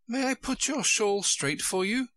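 A man voicing Alice, a polite and timid young girl, in a gently raised voice. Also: high-pitched, lively intonation, unhurried, no room sound, voice pushed up.

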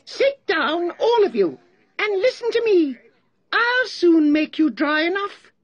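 A man's voice: extremely high pitch, lively intonation, measured pace, no room sound, muffled recording, pitched above the performer's own voice. A man voicing a self-important, easily offended mouse who lectures in a prim, pompous way. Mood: pompous, commanding.

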